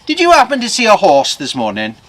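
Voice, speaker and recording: A man's voice: sits high, huge pitch swings, fairly quick, a bit bright, slight background noise, pitched above the performer's own voice, full character voice. A man voicing a friendly, inquisitive Welsh village blacksmith in a told tale, with a probing, knowing way of talking.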